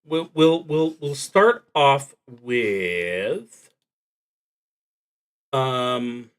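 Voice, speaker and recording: A man hosting a solo role-playing livestream, talking casually and haltingly with long pauses and a wry, self-deprecating streak. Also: medium pitch, clean tone. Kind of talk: casual livestream talk introducing a game.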